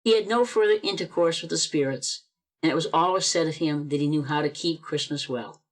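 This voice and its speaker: A steady, expressive narrator reading a classic tale aloud, like a storybook. The voice comes through a video call for an amateur radio play. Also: mid-range voice, fairly quick, rough recording.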